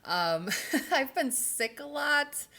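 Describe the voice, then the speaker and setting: A woman's voice: sits high, lively intonation, slow, bright, forceful tone. A woman giving a rambling, casual vlog update, her voice stuffy from a cold and full of fillers. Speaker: vlogger.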